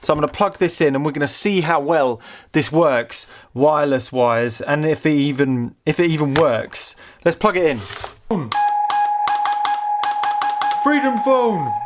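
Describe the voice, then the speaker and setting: A British man giving casual, excitable hands-on commentary as he tinkers with gadgets, thinking aloud informally. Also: on the high side, unhurried, slight background noise. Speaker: host of a vintage technology museum channel.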